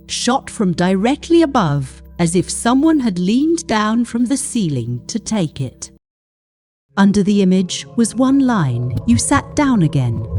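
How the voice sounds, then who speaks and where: A woman reading a scripted horror story in a deliberate, suspenseful way, with short dramatic phrases. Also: mid-range voice, mellow.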